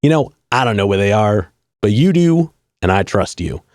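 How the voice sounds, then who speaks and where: A man's voice: lowish voice, very animated, normal speed, a little mellow, no room sound. A man hosting a casual music podcast, upbeat and chummy, with slangy reactions. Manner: upbeat, chummy, slangy ("rad", "hell yeah"). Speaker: podcast host.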